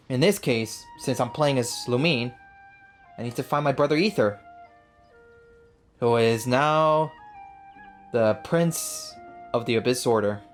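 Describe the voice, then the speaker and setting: A gamer giving casual, playful commentary and answering the game's dialogue in an informal way. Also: medium pitch. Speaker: gaming video host. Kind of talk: casual game commentary, voicing own replies to dialogue.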